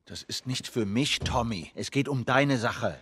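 An actor playing a gruff, weary middle-aged male survivor in a video game, terse and stubborn, with a husky voice. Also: mid-range voice, a little slow, a bit bright, big-room sound, a little hiss or hum, natural voice. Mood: guarded, insistent. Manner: terse, insistent, easily exasperated. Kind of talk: dramatic video game acting.

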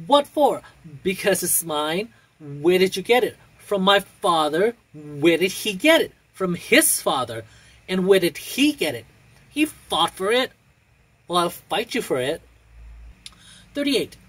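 A young adult with an androgynous, husky voice reciting poetry in a measured, theatrical way with deliberate pauses. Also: mid-range voice, poor audio. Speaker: poetry reader.

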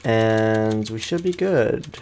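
A man's voice: fairly low pitch, expressive, slow pace, darkish tone, clean tone. A man giving casual let's play commentary, talking to himself and his opponent in slangy speech. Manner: slangy, talks to himself and to the game enemy. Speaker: gaming host, let's play streamer.

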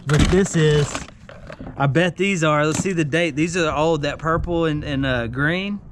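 A man, excitedly going through a storage unit's contents and talking to his viewers. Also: fairly high pitch, measured pace. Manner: chatty, excitable, talks to viewers as y'all. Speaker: storage unit reseller host. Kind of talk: casual unboxing vlog. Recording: loud background noise.

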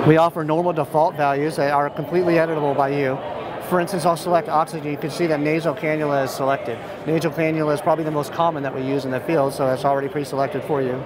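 An American man in his thirties giving an informal product demo, explaining step by step in a matter-of-fact way. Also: fairly high pitch. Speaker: software company representative giving a product demonstration. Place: large indoor expo hall. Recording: noisy busy trade show floor. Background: clear ambient hall noise.

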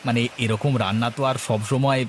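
A man voicing a fretful, food-loving village husband in a cartoon, with an exclamatory, stammering, drawn-out delivery. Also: medium pitch, fairly even intonation, slight background noise, lightly acted. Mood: sheepish. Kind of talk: cartoon dialogue acting. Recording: music bed behind voice.

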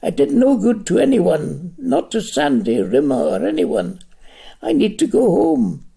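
A man's voice: high-pitched, huge pitch swings, creaky, mellow, slightly rough, exaggerated voice. An older man reading aloud, voicing a tired, despairing man who wants to go home. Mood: weary. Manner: slow, theatrical read-aloud delivery.

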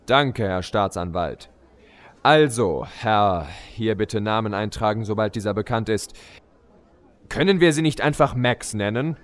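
A man's voice: lowish voice, lively intonation, a little slow. A man playing a formal, easily exasperated judge keeping order in a comedy courtroom audio play.

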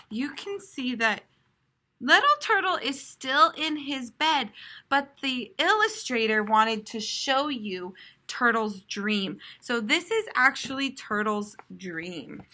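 Bright, animated young American woman teacher. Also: fairly high pitch, slow pace. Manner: expressive, explanatory, clearly addressed to children. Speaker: primary school teacher. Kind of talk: read-aloud lesson for young children. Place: classroom, indoors.

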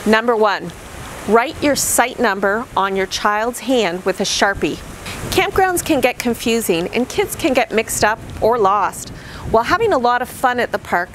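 A middle-aged woman reading a scripted list of camping tips to camera, in an upbeat, clearly enunciated presenter manner, standing outdoors by a camper trailer. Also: a little high, slight background noise, low-quality recording. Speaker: vlogger.

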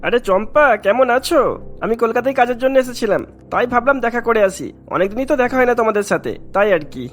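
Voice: mid-range voice, expressive, fast, soft background music, close to an everyday voice. A performer voicing a sly, greedy elderly great-uncle in a Bengali cartoon, genial and wheedling.

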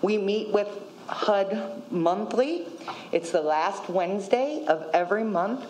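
A woman's voice: mid-range voice, lively intonation, slow, a little mellow, slight background noise, poor audio. A woman in her fifties, a city operations coordinator, giving a matter-of-fact report at a podium in a council chamber. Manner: matter-of-fact, listing figures. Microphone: podium microphone.

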